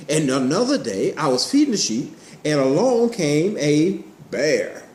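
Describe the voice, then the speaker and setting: A man with a fairly high, very lively voice tells Bible stories to children. He uses simple words, repeats phrases for emphasis and puts questions to his listeners. The room echoes and there is clear noise behind him. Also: a little slow. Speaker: storyteller, puppet show host. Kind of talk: animated children's Bible storytelling, asking questions. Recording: roomy echo, clear background noise.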